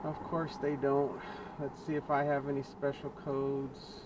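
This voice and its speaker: A man's voice: mid-range voice, completely flat delivery, measured pace. A man, a delivery driver, narrating to his viewers while he drives, muttering in exasperation about his troubles. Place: inside a car. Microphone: dash cam in the car. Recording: car noise behind.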